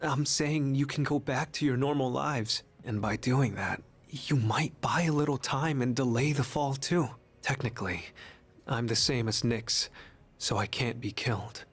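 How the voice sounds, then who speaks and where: An actor playing a gentle young harbinger of death in human form for a video game, speaking softly with grave, resigned, pleading delivery. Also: male voice, medium pitch, unhurried, husky. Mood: solemn, persuading. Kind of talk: English video game voice acting.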